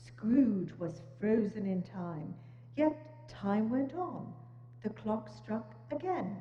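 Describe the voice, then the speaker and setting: A woman's voice: medium pitch, expressive, slow, dark tone. A woman narrator giving a scripted, dramatic reading of a story. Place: church, live staged reading. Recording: echoey church hall, dull sound, faint noise.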